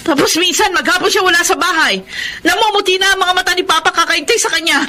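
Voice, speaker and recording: An actress with a Filipino accent playing an intense, grieving and furious married daughter in a melodramatic radio drama. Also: female voice, very high-pitched, fast talker, sharp tone. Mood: hurt, bitter. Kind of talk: Tagalog radio drama acting.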